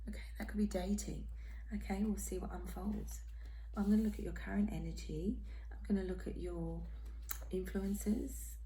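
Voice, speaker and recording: A hoarse, croaky woman giving a tarot reading in a soft, half-whispered, reassuring way. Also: mid-range voice, a little hiss or hum, poor audio. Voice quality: croaky, throat-clearing. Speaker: tarot reader. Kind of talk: casual tarot reading monologue.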